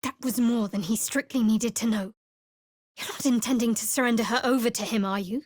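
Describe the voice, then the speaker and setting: A woman performs a guarded cleric from a fantasy video game. Her voice sits a little high for a woman and is recorded very dry, with no room around it. She speaks in a measured, wry way with a faint sardonic edge. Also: a little mellow, no echo, lightly acted. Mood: cool, distrustful.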